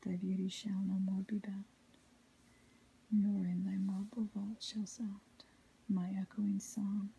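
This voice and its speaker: Woman doing asmr poetry, super breathy whisper, slow and measured, really soft dark tone. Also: mid-range voice, level tone, poor audio. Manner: measured, melodic recitation.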